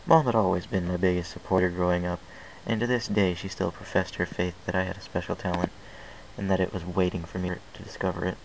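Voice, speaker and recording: A man's voice: low voice, level tone, quick pace, gravelly, a little hiss or hum, poor audio. A man reading a fan fiction story aloud in the first person, in an earnest, plain way with small restarts.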